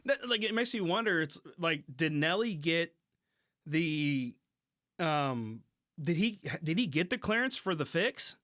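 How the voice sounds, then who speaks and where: A man's voice: a little high, animated, a little slow, sharp tone, dry recording, clean studio sound. A man in a casual podcast conversation, thinking aloud informally as co-host.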